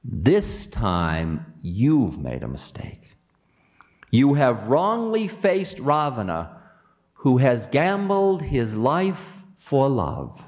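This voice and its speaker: A man's voice: medium pitch, very animated, extremely slow, a little mellow, highs cut off, pitched above the performer's own voice. A man voicing a defiant, mocking demon king with a scornful, theatrical drawl, in dramatic mythic storytelling. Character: Ravana, defiant, mocking twenty-armed male demon king. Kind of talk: dramatic oral storytelling of myth.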